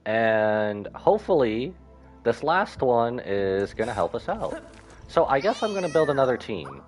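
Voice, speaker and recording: A man's voice: mid-range voice, sing-song, a little slow, soft background music. A man doing casual live-stream game commentary, rambling and thinking aloud as he goes.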